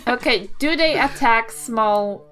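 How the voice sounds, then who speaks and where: A woman's voice: on the high side, slow pace, fairly bright tone, faint music behind. A woman with a New Zealand accent chatting casually as a player in a tabletop roleplaying podcast, questioning and sceptical. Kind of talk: casual improvised game chat.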